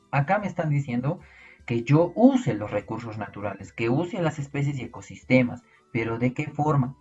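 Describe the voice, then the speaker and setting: A man's voice: mid-range voice, a little mellow, highs cut off. A man with a Peruvian Spanish accent teaching an online biology class, explaining step by step and asking his students rhetorical questions. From Peru.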